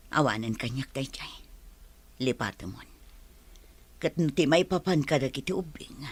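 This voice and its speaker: A woman playing a hardworking, grateful mother, firm but forgiving, in a Filipino radio drama, with a husky voice, Ilocano accent and earnest, expressive delivery. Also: a bass voice, exaggerated voice. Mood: hurt, firm, then sad, resigned.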